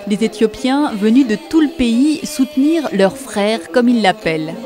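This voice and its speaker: A woman's voice: a little high, expressive, unhurried. A woman reading a scripted voice-over for a television news report. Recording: loud music and crowd behind. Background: music and crowd sound.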